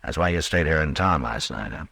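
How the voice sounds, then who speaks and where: A frontier lawman of an old American radio western. His voice is very deep, and he talks in a measured, dry way, watchful and probing, like someone long used to standing alone. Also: animated, gravelly, bright, forceful tone, breathy edge, no room sound, full character voice. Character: watchful, lonely frontier US marshal, steady questioner. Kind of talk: 1950s radio western acting.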